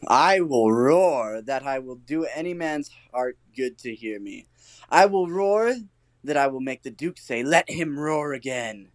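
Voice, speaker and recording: Boastful amateur thespian played by a man, swooping pitch. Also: lively intonation, a little slow, no room sound, natural voice. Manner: theatrical, showy. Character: Bottom, boastful eager amateur actor, grown workman. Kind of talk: comic Shakespearean audio play acting.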